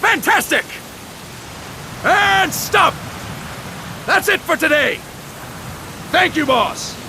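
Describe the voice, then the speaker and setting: An actor playing an enthusiastic drill trainer who shouts calls at recruits, amid loud rain noise. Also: male voice, very high voice, lively intonation, very slow, vocal fry, exaggerated voice.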